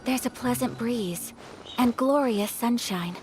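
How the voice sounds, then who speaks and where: A woman voicing a gracious, gentle young female guide in a video game. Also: a little high, slow, over music. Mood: bright, inviting.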